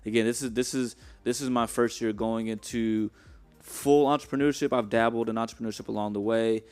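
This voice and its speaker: A man sharing a casual church testimony, halting and reflective with many restarts. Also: mid-range voice, steady tone, darkish tone, clean tone. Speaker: church member guest.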